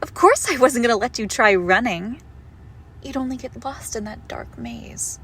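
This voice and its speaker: A woman playing a possessive monstrous girlfriend in an intimate horror roleplay, condescending and darkly affectionate, with deliberate, drawn-out phrasing. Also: a little high, expressive, a bit bright, a little hiss or hum. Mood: teasing menace. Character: possessive monstrous girlfriend with shadow powers, sweetly menacing. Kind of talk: intimate horror roleplay audio, slow and hushed.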